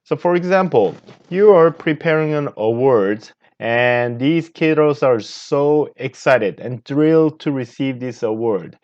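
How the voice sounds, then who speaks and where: A man from Korea, a native Korean speaker with a Korean accent, giving an earnest leadership lecture as a martial arts master over slides, unhurried, pausing often. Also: mid-range voice, lively intonation, a little mellow, lo-fi sound. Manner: earnest, unhurried, with frequent pauses and fillers.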